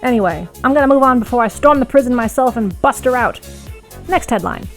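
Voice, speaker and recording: A woman's voice: a little high, lively intonation, fairly quick, faint music behind, pitched above the performer's own voice. A woman voicing a brash, smitten male rebel radio broadcaster in an audiobook, with theatrical, emphatic delivery. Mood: boastful.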